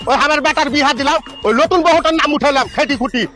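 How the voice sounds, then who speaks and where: A man's voice: very high-pitched, quick pace, bright, forceful tone. A man playing a harried, grumbling villager in a comic skit, speaking with a rural West Bengal accent. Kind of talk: comic social skit acting. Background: faint music.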